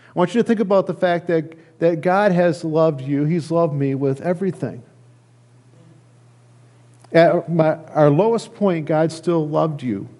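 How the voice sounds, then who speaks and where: A middle-aged American man giving a sermon as a pastor, speaking earnestly and haltingly, often repeating phrases. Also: a little high, warm tone. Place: church sanctuary, indoors at a lectern.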